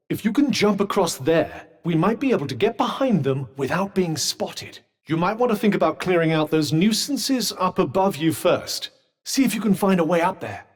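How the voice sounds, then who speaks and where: An actor voicing a sentient magical cuff in a video game, a dry, witty and protective male companion with a British accent and a formal, well-spoken, advising manner. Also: high voice. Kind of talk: scripted game dialogue. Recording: long reverberant tail on voice.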